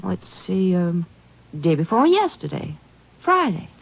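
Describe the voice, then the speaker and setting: A woman's voice: lowish voice, very animated, measured pace, vocal fry, heavy background noise, exaggerated voice. An actress playing a folksy, gossipy small-town woman, musing through her answers in a radio western. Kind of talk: old-time radio western acting. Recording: dull old radio recording.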